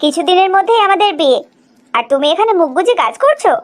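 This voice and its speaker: Lady voicing confident pert young bride to be, cartoon style, coaxing, super high voice. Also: very animated, quick pace. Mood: pert, teasing. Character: bold, teasing, scheming young bride-to-be. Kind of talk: cartoon drama dialogue.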